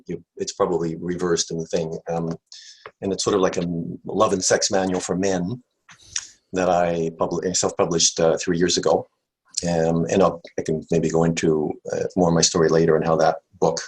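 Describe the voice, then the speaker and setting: A man's voice: low-pitched, level tone, moderate pace, a little mellow, husky, low-quality recording. A middle-aged man from Canada and the USA, a nervous guest author who stammers and repeats words while talking on a video call. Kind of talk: interview conversation.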